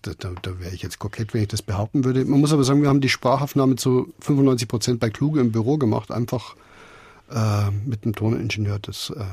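Guy being interviewed about directing radio plays, husky voice, dry close sound, feels around for words. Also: lowish voice, dark tone, no echo. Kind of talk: conversational interview anecdotes.

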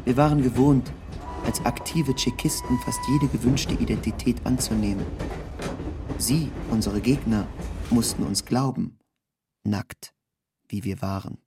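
Gravelly man narrating over rumbling noise. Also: measured pace, long pauses. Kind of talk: scripted literary narration, read in first person.

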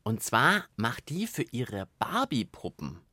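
Man, casual co-host banter, very lively intonation, dry recording. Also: mid-range voice, slow, slightly rough, no room sound. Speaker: co-host of a children's science podcast.

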